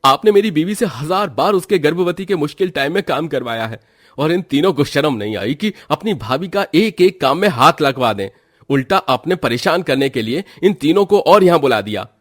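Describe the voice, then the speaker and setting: A man performs an indignant, protective young husband in a cartoon family tale. His pitch is pushed up and he speaks with heated, emphatic reproach. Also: animated, normal speed. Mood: angry, reproachful. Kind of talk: acted Hindi cartoon moral story.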